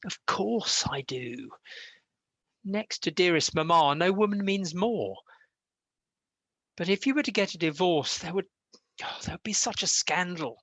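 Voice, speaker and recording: A man acting a secretive, torn gentleman composer in a radio play, formal in manner. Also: high voice, animated, a little slow, long pauses, dry recording, full character voice. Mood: torn, anguished.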